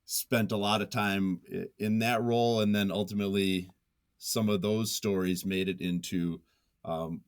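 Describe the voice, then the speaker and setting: A man with a deep voice speaks as a guest in a reflective interview. He is thoughtful and self-effacing, often feeling his way through a sentence with some hesitation. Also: fairly even intonation, unhurried, smooth. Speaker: interview guest, nonfiction writer.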